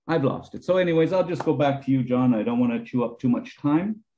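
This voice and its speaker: Mellow-voiced man explaining products on a call. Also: mid-range voice, lively intonation, fairly quick, slight background noise. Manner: casual, rambling with fillers. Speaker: product presenter. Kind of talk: video call presentation. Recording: dull lo-fi video call audio.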